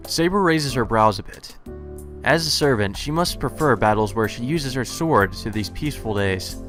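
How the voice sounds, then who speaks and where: A man's voice: medium pitch, animated, normal speed, a bit bright. A man reading visual novel narration aloud as a let's player, casual and sweary, stumbling over words now and then. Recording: game music behind the voice.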